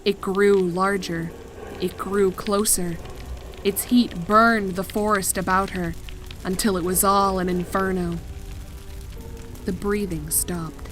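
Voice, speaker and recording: A woman reading a scripted horror story as narrator, in a measured and deliberate dramatic style. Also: mid-range voice, fairly even intonation, fairly bright tone, soft background music. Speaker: fan fiction narrator.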